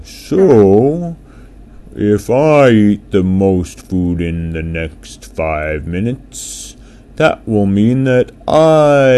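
Guy doing big friendly whale for a kids story, boastful, loud, super slow drawn out words. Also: exaggerated voice. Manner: slow, drawn-out, booming delivery. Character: huge friendly boastful blue whale who loves eating.

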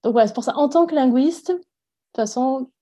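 A woman's voice: sits high, brisk, mellow. A young woman from France, a language teacher, talking frankly and reflectively in a casual interview, thinking aloud as she goes.

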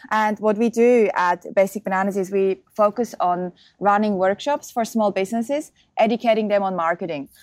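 A woman's voice: mid-range voice, darkish tone, no echo. A woman in her thirties, originally from Switzerland, explaining her marketing business in an upbeat, easygoing podcast interview. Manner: upbeat, explanatory, laughs easily. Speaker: guest, marketing business co-founder.

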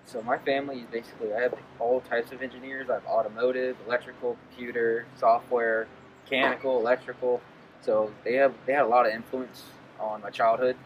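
A man's voice: medium pitch, little pitch movement, a little fast, recorded from a distance, a little hiss or hum, low-quality recording. Young man from Maryland, heard off mic. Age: young adult. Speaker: graduating engineering student.

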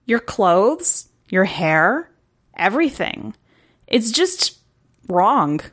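A woman's voice: mid-range voice, sing-song, very slow, a bit bright, heavily acted. A woman voicing a blunt, dismissive, world-weary young city girl in an audiobook story. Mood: scornful. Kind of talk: audiobook character dialogue.

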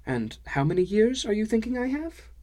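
A man's voice: high-pitched, sing-song, normal speed, dark tone, pitched above the performer's own voice. A man voicing a kindly, frank, easily amused dwarf woman with quaint foreign-style phrasing in an audiobook. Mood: explaining, reflective. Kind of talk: audiobook character dialogue.

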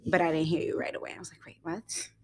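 Young woman chatting on her podcast, lotsa ums and likes, kinda low voice, giggles a lot. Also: little pitch movement, quick pace, a little mellow. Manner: rambling, many fillers, giggly. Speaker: podcast co-host. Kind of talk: casual podcast chat.

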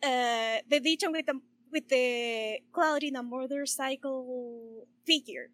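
High-pitched woman, unhurried. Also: fairly bright tone, no echo. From Chile. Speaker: podcast co-host. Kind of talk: casual chat.

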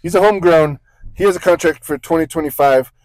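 A man with a fairly high voice chats loosely on a sports podcast, circling back and correcting himself as he goes. The sound is close and dry, with no room behind it. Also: fast, darkish tone, no echo, low-quality recording. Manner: rambling, self-correcting, joking asides. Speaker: podcast co-host. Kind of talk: casual sports podcast chat.

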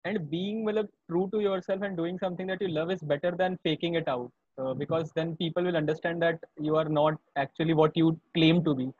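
A man's voice: fairly high pitch, steady tone, a little fast, hardly any pauses, darkish tone, no echo, highs cut off. A man interviewing a guest, asking in a hesitant way with short prompts. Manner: hesitant, brief prompts and asides. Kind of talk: casual interview chat.

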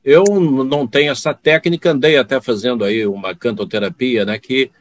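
A man's voice: mid-range voice, a little fast. A man who works as a sports announcer, telling anecdotes about fellow radio men in a conversational way, with some hesitant starts. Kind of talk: casual chat among broadcasters.

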